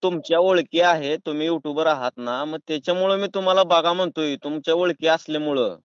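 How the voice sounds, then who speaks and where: A man's voice: fairly high pitch, brisk, clear, resonant tone, dry recording. A man with a rural Maharashtrian accent talking in a pushy, folksy, argumentative way on a prank phone call. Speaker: prank caller. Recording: dull, lo-fi phone call recording.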